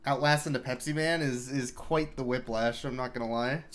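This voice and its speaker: A man's voice: mid-range voice, moderate pace. Chatty man commentating a live speedrun. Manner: relaxed, chatty, explaining as he plays. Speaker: speedrunner commentating his run.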